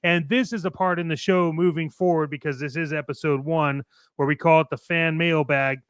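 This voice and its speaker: A man's voice: a little high, fairly even intonation, normal speed, a bit bright, smooth, no room sound, clean studio sound. A man co-hosting a toy collecting show, playful and enthusiastic, moving between ad reads and casual banter.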